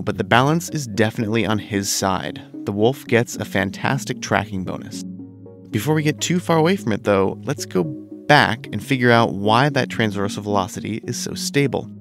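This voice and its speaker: A man recovering from a cold, narrating a scripted game commentary in a measured, analytical way. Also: on the low side, vocal fry. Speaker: gaming video host.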